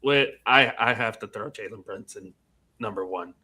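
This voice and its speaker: A man's voice: lowish voice, moderate pace, bright, forceful tone, a little hiss or hum. A young man giving his personal opinions in a casual sports panel discussion.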